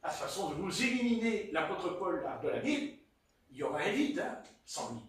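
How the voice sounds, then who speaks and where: A man with a Marseille accent preaches in a lively, high voice, his pitch leaping around as he tells stories playfully. The sound is very echoey, as if caught from far across a church hall. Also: fairly quick. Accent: Marseille French. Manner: animated, playful storytelling with chuckles. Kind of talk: sermon.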